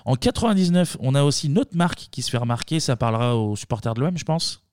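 A man hosting a casual podcast chat, joking and quick, with mock-alarmed asides. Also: mid-range voice, animated, a little mellow, clear, resonant tone, no room sound, clean studio sound. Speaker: podcast host.

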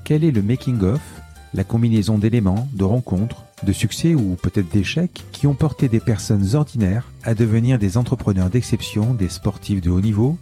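Mellow low-voiced podcast host, man. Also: moderate pace, soft background music. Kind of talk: scripted podcast intro, then conversational interview.

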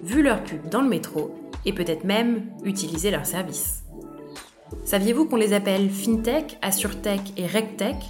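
A woman hosting a podcast, reading a clear, upbeat intro and then asking the guest questions. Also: mid-range voice, animated, normal speed. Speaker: podcast host. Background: music.

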